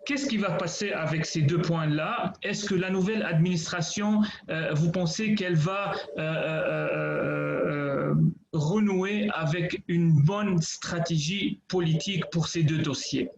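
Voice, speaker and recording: A man's voice: fairly high pitch, a little slow. Hesitant man hosting a radio show. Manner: hesitant, many fillers. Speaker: radio host. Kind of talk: radio interview questions. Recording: dull, roomy radio audio.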